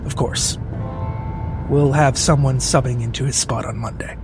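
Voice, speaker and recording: A man playing a cautious, reassuring spaceship crewman in improvised roleplay, speaking haltingly. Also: mid-range voice, fairly even intonation, natural voice. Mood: calm, matter-of-fact. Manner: hesitant, halting. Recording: music bed behind the voice.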